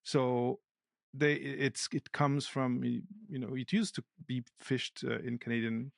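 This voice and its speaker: A man speaks reflectively as a podcast guest, pausing to find his words. His voice has a gravelly, creaky texture at a middle pitch. The recording is close and dry, with no room sound. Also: fairly even intonation, no room sound. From Portugal. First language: Portuguese. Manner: thoughtful, searches for words aloud. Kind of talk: casual conversation.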